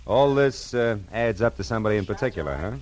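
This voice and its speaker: A man's voice: on the low side, animated, fast talker, a little mellow, natural voice. An actor playing a wry, wisecracking male private detective in an old radio detective drama. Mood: casual, probing. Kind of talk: 1940s radio detective drama acting, with narration.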